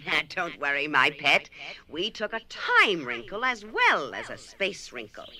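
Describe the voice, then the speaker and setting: A woman's voice: low voice, sing-song, unhurried, sharp tone, heavily acted. An actress playing a kindly, eccentric old otherworldly woman in an audio drama, explaining patiently with grandmotherly endearments. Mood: reassuring, explaining.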